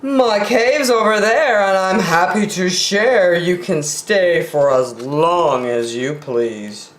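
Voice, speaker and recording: A woman voicing a hungry, grumpy but obliging male brown bear from a picture book, direct and expressive, in an echoey room. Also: on the low side, slow. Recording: roomy home recording with echo.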